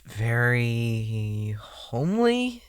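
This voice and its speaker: A man's voice: medium pitch, very animated, drawn-out words, clear, resonant tone, dry recording, studio quality. A man playing a tired, withdrawn young psychiatric patient in an audio drama, speaking in an anxious, subdued, hesitant way. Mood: uneasy, weary.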